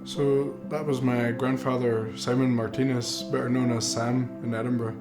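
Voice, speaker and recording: Earnest young man over soft guitar. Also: medium pitch, level tone, moderate pace. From Mexico. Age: 20s. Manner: earnest, reflective, with some fillers. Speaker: filmmaker. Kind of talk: documentary narration. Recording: roomy with music underneath.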